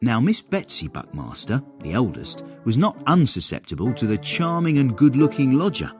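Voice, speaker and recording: An actor playing a cheeky manservant who narrates a period radio comedy in a wry, florid, relishing way, with literary airs. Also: male voice, mid-range voice, huge pitch swings, normal speed, soft background music. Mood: confiding.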